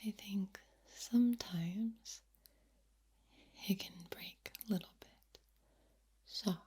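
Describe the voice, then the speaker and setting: Female ASMR host: very breathy whisper, extremely unhurried, lingering and repeating words, close-miked. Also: mid-range voice, mellow. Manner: deliberate, drawn-out delivery with repeated words. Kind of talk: soft-spoken ASMR, mostly whispered. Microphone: close, sensitive microphone.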